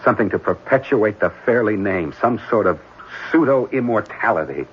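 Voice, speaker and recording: A man playing a smooth, evasive young heir in an old-time radio drama, clipped and impatient, hesitant when pressed. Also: fairly low pitch, expressive, moderate pace. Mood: grim, bitter. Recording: muffled, noisy old radio broadcast recording.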